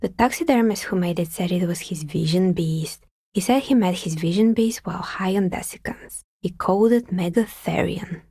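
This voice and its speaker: Husky, dry-witted craftswoman. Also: on the low side, moderate pace, dark tone, no room sound. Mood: dry, musing. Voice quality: husky, breathy with some creak. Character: wry, pragmatic woman craftsperson, a novelty dicemaker. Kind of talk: video game character dialogue.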